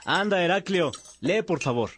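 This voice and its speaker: A man's voice: high-pitched, lively intonation, normal speed, fairly bright tone, slight background noise. A man playing a friendly monk chatting with his brothers in a radio drama.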